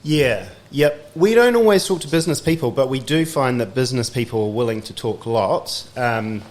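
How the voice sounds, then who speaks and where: A man hosting a podcast, asking curious questions in a relaxed, chatty way and nodding along with quick agreements. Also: medium pitch. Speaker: podcast host. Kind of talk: casual interview chat.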